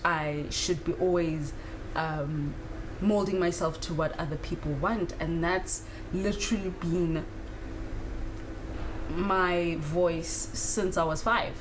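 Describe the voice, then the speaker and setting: A woman's voice: lowish voice, steady tone, slow pace. A woman around thirty from South Africa, talking through spiritual ideas in a candid, casual monologue with frequent fillers and the odd swear word. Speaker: spiritual vlogger. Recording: clear background noise.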